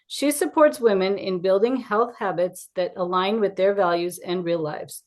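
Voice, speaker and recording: A woman's voice: medium pitch, moderate pace, a little mellow. Woman hosting a wellness podcast. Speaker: podcast host, wellness coach. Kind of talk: podcast intro and interview hosting.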